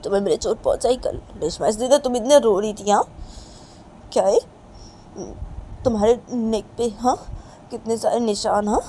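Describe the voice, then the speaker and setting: A woman's voice: on the high side, moderate pace, long pauses, mellow, a little hiss or hum, voice pushed up, close to an everyday voice. A woman voicing a stern, guilt-ridden young woman with a short temper, repeating terse warnings in an improvised dramatic story. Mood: guilty, confronting. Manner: terse, insistent, repetitive warnings.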